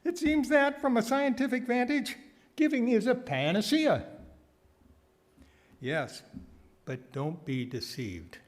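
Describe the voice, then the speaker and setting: A man delivering a prepared sermon with wry asides, phrasing deliberately and repeating words for emphasis. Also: very high voice, lively intonation. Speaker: guest speaker giving a sermon, nonprofit founder.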